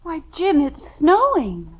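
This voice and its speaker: An actress playing a patient, knowing middle-aged American wife and mother who scolds gently and dryly in an old-time radio comedy. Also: very high voice, huge pitch swings, slow, heavily acted. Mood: scolding. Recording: muffled, hissy vintage radio recording.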